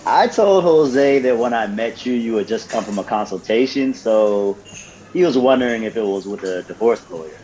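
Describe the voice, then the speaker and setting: A man's voice: mid-range voice, lively intonation, moderate pace, warm tone, natural voice, low-quality recording. A man acting in an audio drama as a friendly paralegal on a coffee date, easygoing and conversational. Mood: friendly, interested.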